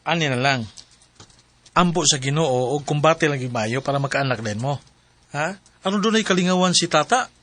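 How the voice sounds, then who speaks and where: A man's voice: on the high side, expressive, moderate pace, long pauses, close to an everyday voice. An actor playing a well-meaning, easygoing male friend giving advice in a radio drama. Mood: advising. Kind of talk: radio drama acting in Cebuano.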